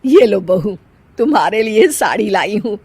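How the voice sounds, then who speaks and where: A woman's voice: mid-range voice, animated, unhurried, darkish tone, slightly rough, dry recording. A woman voicing a domineering middle-aged mother-in-law in a family story, with a dismissive manner. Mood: coaxing, dismissive. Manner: scolding, dismissive.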